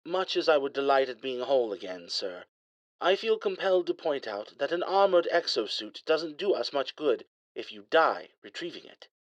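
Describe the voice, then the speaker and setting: A man's voice: medium pitch, very animated, moderate pace, no echo, pitched above the performer's own voice, close to an everyday voice, poor audio. A man voicing a prim, dryly sarcastic male ship AI that speaks with a light English accent. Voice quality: smooth, polite, dry.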